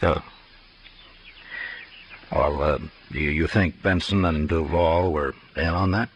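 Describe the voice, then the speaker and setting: A steady, world-weary Old West lawman with a very deep American voice. He is measured and authoritative in the style of a fifties radio western. The old recording carries faint noise. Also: muffled recording. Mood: probing, restrained. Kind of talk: 1950s radio western acting and narration.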